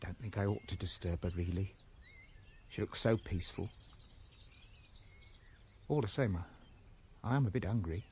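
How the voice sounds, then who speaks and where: An actor playing a mild, whimsical middle-aged runaway shopkeeper in a radio drama, with an English accent and a hesitant, playful way with fanciful words. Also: male voice, deep voice, a little slow, long pauses, darkish tone, a little hiss or hum. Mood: soft, musing. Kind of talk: naturalistic radio drama acting.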